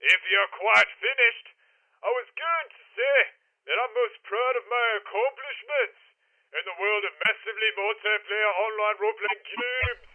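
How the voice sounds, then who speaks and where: A man's voice: high-pitched, normal speed, lightly acted. A man voicing a haughty, easily irritated online gaming nerd in a comedy radio sketch, prissy and boastful. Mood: smug, boastful. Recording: dull, band-limited lo-fi audio.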